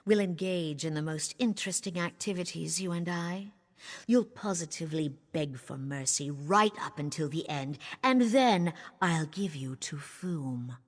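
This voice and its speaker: A woman voicing a scornful, cruelly seductive usurper queen in a video game, husky, imperious and disdainful. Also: sits low, expressive, a little slow. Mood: mocking, seductive. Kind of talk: video game character acting. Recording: long reverb of a large hall.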